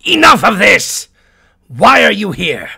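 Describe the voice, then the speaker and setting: A man playing a surly, blustering mad doctor villain in an audio drama, frightened but defiant, with a gravelly, theatrical voice. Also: high voice, wildly swooping pitch, slow pace, sharp tone, exaggerated voice. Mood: indignant. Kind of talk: audio drama character acting.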